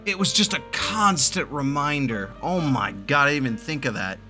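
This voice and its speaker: A man's voice: on the high side, animated, moderate pace, music in the background. A man giving casual, sweary, joking reaction commentary while playing a game. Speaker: game streamer reacting to cutscenes.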